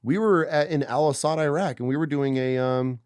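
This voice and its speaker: A man's voice: medium pitch, a little fast, a little mellow, smooth, no echo, studio quality. A man hosting a podcast, chatting casually in a blunt, profane, slangy way like a military veteran. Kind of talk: casual chat, war-story banter. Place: close-miked dry studio.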